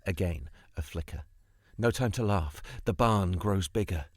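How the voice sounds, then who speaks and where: Male poetry reader, low pitch, unhurried and dramatic delivery, deliberate short phrases, close mic. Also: dry recording. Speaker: guest poet reading a poem. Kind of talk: literary poetry recitation.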